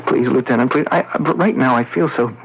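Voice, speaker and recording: An actor playing a tormented, weary man who claims to be possessed, speaking haltingly in a 1970s radio drama. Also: mid-range voice, creaky, husky. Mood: anguished, exhausted. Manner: halting, weary, pausing mid-sentence. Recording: muffled noisy vintage radio broadcast.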